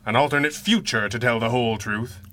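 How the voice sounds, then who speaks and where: A man's voice: medium pitch, a little fast, bright, forceful tone, lightly acted. A man playing a guilt-ridden masked crime fighter in a 1930s-style radio adventure, speaking with formal, earnest, dramatic diction. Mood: grave, reluctant.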